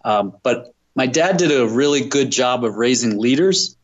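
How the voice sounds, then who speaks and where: A man's voice: mid-range voice, animated, moderate pace, highs cut off. A middle-aged man giving conversational answers as a business guest in an interview. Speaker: interview guest, company president.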